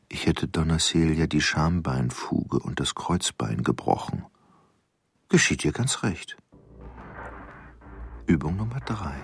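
An actor in a radio drama playing a cold, violent man who recites brutal fantasies in clipped fragments, sometimes whispering, with a gravelly voice. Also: very deep voice, heavily acted. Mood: seething, disgusted. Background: music.

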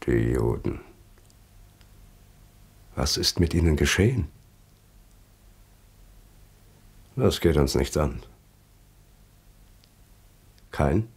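An actor playing a grave, bitter survivor back in Vienna in a German radio play, speaking in a deliberate, probing way. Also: male voice, a bass voice, a little mellow, breathy edge, exaggerated voice. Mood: bitter, accusing. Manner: deliberate, brooding, probing questions.

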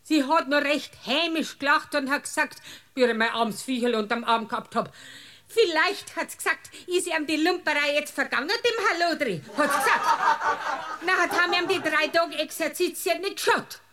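A high-pitched, indignant Bavarian village woman testifies in a radio courtroom comedy. She is gossipy and aggrieved, telling her tale in broad dialect with theatrical outrage. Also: normal speed, bright, forceful tone. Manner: aggrieved, dramatic storytelling. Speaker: witness in a courtroom drama.

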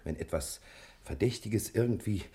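An actor playing a sly, flattering detective in a German radio play, speaking in a confiding, hesitant way with a breathy, husky voice. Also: male voice, fairly low pitch, normal speed. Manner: hesitant, confiding, with murmured asides. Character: male detective, sly, flattering, secretive conspirator.

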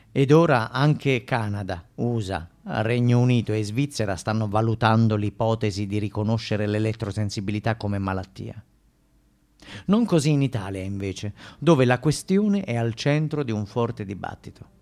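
A man's voice: medium pitch, darkish tone. A man playing a formal TV news anchor, reading scripted reports in an announcer-like way for a radio play.

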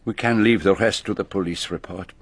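An actor playing a shrewd, grave foreign detective with a French accent and precise, formal phrasing in a radio drama. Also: male voice, deep voice, fairly quick, dry recording. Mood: brisk, assessing. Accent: French-speaking European.

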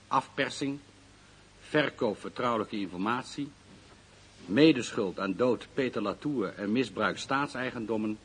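Radio play judge: stern, official, measured, slightly deep with a husky edge. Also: male voice. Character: stern, formal male court judge. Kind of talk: formal verdict reading in radio drama.

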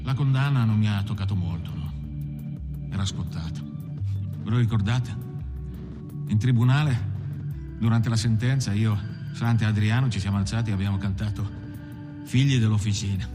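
A man's voice: lowish voice, moderate pace, long pauses, over music, lightly acted. An actor playing an unrepentant male convict who tells of his past in a matter-of-fact, conversational monologue. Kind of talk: film monologue told like testimony.

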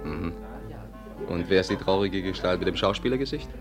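An actor playing a dry, teasing man in a 1950s radio drama, given to deadpan banter. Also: low-pitched, a little fast, music in the background. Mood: musing, wry. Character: dry, teasing male companion, wry romantic.

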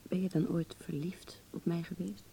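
A woman's voice: on the low side, flat delivery, normal speed, gravelly, warm tone, slight background noise. An actress playing a vulnerable, searching young woman with a blunt streak in a Dutch radio play, speaking hesitantly and with intimate emotion. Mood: fragile, searching.

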